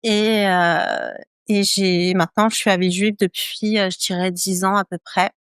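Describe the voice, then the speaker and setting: Smooth-voiced woman chatting with many fillers. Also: medium pitch, fairly even intonation, moderate pace, dry recording, studio quality. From France. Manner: hesitant, many fillers and repeated phrases. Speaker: guest. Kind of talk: casual interview chat.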